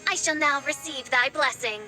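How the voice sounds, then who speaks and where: A woman's voice: high-pitched, normal speed, bright, forceful tone. A woman voicing a young, haughty, self-styled princess in a video game, with pompous, archaic grandeur. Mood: regal, solemn. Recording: background music under the voice.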